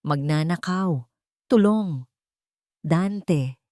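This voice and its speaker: A woman's voice: fairly low pitch, very slow, a little mellow, dry recording, studio quality. A woman voicing a scheming, tearful fiancée in an audio love drama, melodramatic and accusing. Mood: alarmed shouting.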